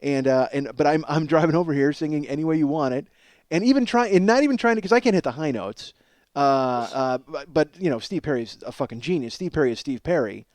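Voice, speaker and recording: A man in his forties with a slightly hoarse voice, hosting a podcast as a casual comedic monologue, rambling, profane and self-deprecating. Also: on the high side, lively intonation, quick pace, a little mellow, no echo. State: slightly hoarse from strained singing. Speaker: podcast host.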